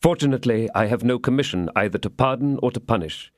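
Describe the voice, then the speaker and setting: A man voicing a grave, upright young soldier who speaks in a measured, serious way. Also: mid-range voice, no room sound, studio quality, natural voice.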